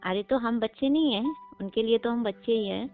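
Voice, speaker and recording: A woman's voice: a little fast, faint music behind, close to an everyday voice. Woman playing an indignant teenage girl on a muffled call. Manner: accusing, insistent, questioning. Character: angry eighteen-year-old neighbour girl confronting a man by phone. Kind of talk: staged phone-call drama, conversational. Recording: muffled, low-fidelity phone-call recording.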